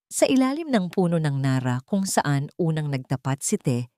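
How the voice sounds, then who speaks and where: A woman's voice: low-pitched, very animated, moderate pace, mellow, no echo, clean studio sound. A woman reading dramatic scripted narration of a love story.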